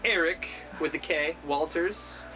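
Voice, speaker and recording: A man's voice: fairly high pitch, slow, pushed and bright. Young man answering casually over music. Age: 20s. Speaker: student interviewee. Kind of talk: informal interview answers. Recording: music under the voice.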